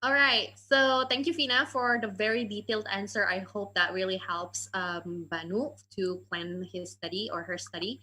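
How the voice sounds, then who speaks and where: Woman hosting a q&a on a video call, bright clear voice, lots of um and uh. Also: mid-range voice, fairly even intonation, normal speed, hardly any pauses, rough recording. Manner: reads out questions and answers with frequent "um" and "uh". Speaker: moderator and education adviser. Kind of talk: moderating an online Q&A.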